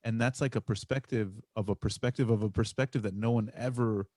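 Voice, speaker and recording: A male podcast host with a fairly low voice asks questions, thinking aloud and stumbling over his words. The recording is close and dry. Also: fairly even intonation, a little fast, mellow, dry recording. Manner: stammering restarts, thinking aloud with many "you know"s. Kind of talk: casual interview talk.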